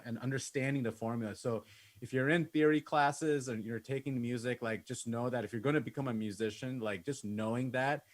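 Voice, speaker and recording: A man in his thirties from Bangladesh, a musician talking informally and conversationally to an online class. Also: medium pitch. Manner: informal, conversational, peppered with like and you know. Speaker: musician and music producer giving a masterclass. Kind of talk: casual autobiographical talk to an online class. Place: indoors on a video call.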